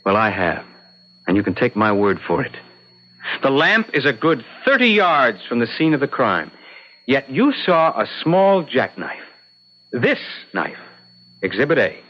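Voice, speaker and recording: A man's voice: mid-range voice, very animated, unhurried, pauses often. A man acting a challenging, doubting defense attorney who cross-examines precisely and insistently in an old-time radio drama. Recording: dull lo-fi vintage radio recording.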